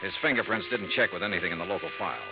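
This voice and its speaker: Wry insurance investigator, fast-talking, lively pitch, dull old radio, music behind. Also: male voice, background noise. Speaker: narrating lead. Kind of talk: 1950s radio drama acting.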